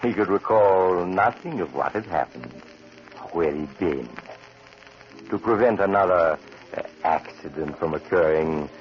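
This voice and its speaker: An actor playing a sinister, genteel doctor who tells macabre tales, ominous and mock-polite, in 1940s radio horror style. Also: male voice, deep voice, animated, unhurried, faint music behind. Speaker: host narrator. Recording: dull 1940s radio recording.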